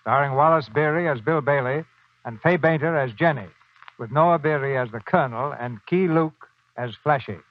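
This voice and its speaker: A man's voice: fairly high pitch, moderate pace, a little hiss or hum. A man presenting a radio drama in scripted 1940s narration style. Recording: dull 1940s radio broadcast, music behind.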